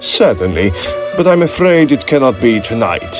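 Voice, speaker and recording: Guy acting as shifty young victorian student, guarded but polite, up and down pitch, music clearly playing under him. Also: very animated, normal speed, lightly acted. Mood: evasive politeness. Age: young adult. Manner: guarded, excusing, formally polite. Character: secretive, evasive young Oxford student hiding something. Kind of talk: Victorian audio drama dialogue.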